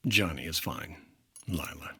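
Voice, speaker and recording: An actor playing a wry, persistent 1950s insurance investigator in the dry, clipped style of a radio detective drama. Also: male voice, very deep voice, unhurried, sharp tone, husky, full character voice. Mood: smooth, wry.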